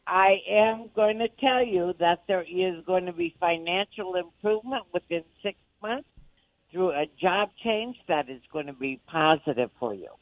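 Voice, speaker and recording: A woman calling in as a radio psychic, giving slow, emphatic pronouncements. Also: fairly low pitch, animated, dry recording. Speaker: radio psychic guest on the phone. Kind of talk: phone-in reading. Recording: tinny phone line.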